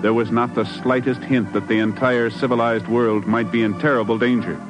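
A man's voice: mid-range voice, little pitch movement, normal speed, over music. A man announcing and narrating a 1950s radio drama in a portentous, emphatic scripted style.

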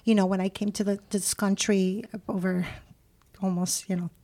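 A woman's voice: mid-range voice, little pitch movement, normal speed, dark tone. A woman from Rico, a Spanish speaker, answering as an interview guest in an earnest, effusive way. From Puerto Rico.

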